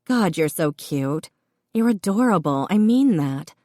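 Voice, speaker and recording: A woman's voice: fairly low pitch, expressive, measured pace, dark tone, no room sound, studio quality. A woman doing a bubbly, teasing pregnant sister.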